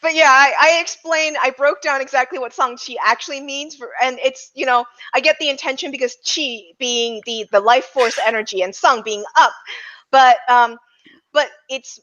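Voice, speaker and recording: A woman's voice: sits high, normal speed, bright, forceful tone, lo-fi sound. A woman chatting casually as a podcast panelist, explaining things in a wry, giggly way. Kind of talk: casual roundtable chat.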